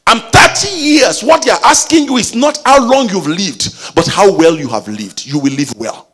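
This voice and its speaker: A man preaching a sermon with call-and-response exhortations, rising at times into a sung shout. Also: high-pitched, expressive, moderate pace, husky, soft background music.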